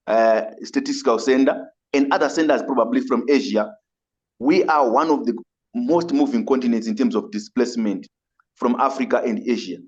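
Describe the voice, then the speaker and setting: A man with a Zimbabwean accent speaking up as a migrant rights advocate in a webinar. He is earnest and halting, and often repeats and rephrases himself as he goes. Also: mid-range voice, a little mellow, highs cut off. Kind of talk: webinar audience comment.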